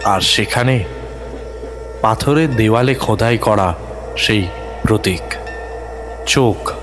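A man narrates a scripted horror thriller in a fairly low voice. He takes his time, leaving deliberate, suspenseful pauses between short phrases, with faint music behind him. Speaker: audio story narrator.

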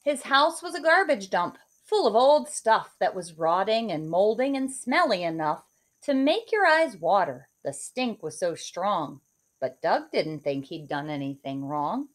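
A woman in her forties, a teacher, reading a rhyming picture book aloud in a sing-song, expressive way at home into a webcam. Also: mid-range voice, a little slow. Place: home living room.

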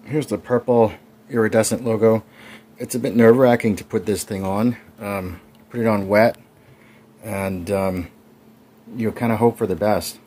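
A man's voice: lowish voice, fairly even intonation, normal speed, dark tone. A man in his forties casually narrating his bike build over clips, chatty with frequent ums. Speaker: cycling vlogger.

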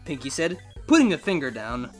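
A man's voice: fairly high pitch, big pitch swings, a little fast, soft background music. A man narrating fan fiction as a story reader and channel host.

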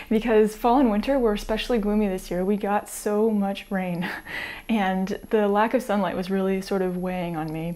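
An American woman in her thirties, chatting to camera in a small home room on a lapel mic, light and easygoing, with soft laughs between thoughts. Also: medium pitch. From United States. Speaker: sewing vlogger. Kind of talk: casual chat to camera.

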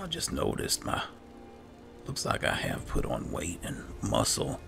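Very deep, gravelly man narrating a game. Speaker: gamer playing in character. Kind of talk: casual roleplay gameplay narration.

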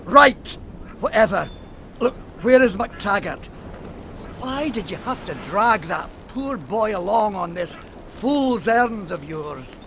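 Blunt, exasperated inspector, male actor for radio play: high, gravelly, slow-paced scolding. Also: expressive, pushed and bright, a little hiss or hum, full character voice. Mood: exasperated, alarmed. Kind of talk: audio drama acting.

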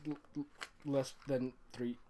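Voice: mid-range voice, little pitch movement, takes it slowly, dark tone. A giggly fan fiction reader hosting a comedic reading, mocking the story and often breaking into laughter. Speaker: fanfic reader and voice actor, host.

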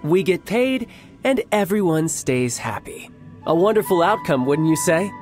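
A man's voice: sits high, expressive, measured pace, darkish tone, soft background music. A man voicing a charming, self-assured young soldier in a video game. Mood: amiable. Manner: smooth, amiable, confident.

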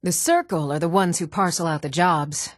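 A woman's voice: fairly low pitch, moderate pace, dry recording, clean studio sound, close to an everyday voice. An actress playing an eager, proud young warrior woman in a fantasy video game, earnest and spirited.

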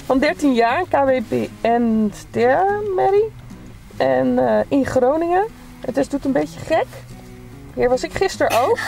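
Adult woman, mother behind the camera; high, animated voice; music bed under it. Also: a little slow, creaky. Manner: encouraging, coaching a young rider. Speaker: vlogger, mother filming her daughter. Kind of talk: casual vlog narration.

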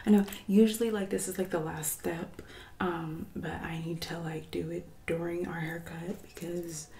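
A young woman speaks softly in a low, creaky voice, often dipping into a whisper. She plays a mean friend in an ASMR haircut roleplay, condescending and passive-aggressive. The close recording carries clear noise and clicking. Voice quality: soft-spoken, often slipping into whisper. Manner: condescending, passive-aggressive, drawn-out backhanded remarks.

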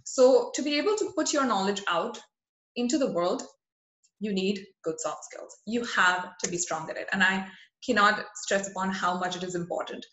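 A woman's voice: mid-range voice, normal speed, a bit bright, reverberant, rough recording. A woman in her thirties from India with an Indian accent, giving an earnest, motivational webinar talk over slides. Her first languages are Hindi, Marwari and Bengali. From India (rural West Bengal). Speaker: webinar presenter.

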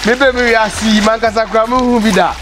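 A man in his thirties with a Ghanaian accent, filming an excited outdoor vlog by a forest stream, exclaiming often. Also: sits high, fast, over music. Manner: excitable, exclaiming, mixes local language with English. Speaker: vlogger.

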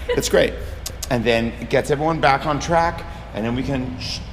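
A middle-aged brewery worker speaks with a wry, deadpan humor. He is recorded on a handheld camera on a large brewery floor, with machinery clearly heard behind him. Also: mid-range voice, moderate pace, long reverb tail. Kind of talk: mock-serious work banter. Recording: noisy machinery background.

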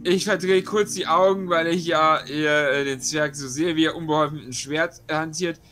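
Higher-voiced man playing a tabletop game. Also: fairly even intonation, normal speed, pushed and bright, soft background music. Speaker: tabletop role-playing player. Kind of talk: casual game chat.

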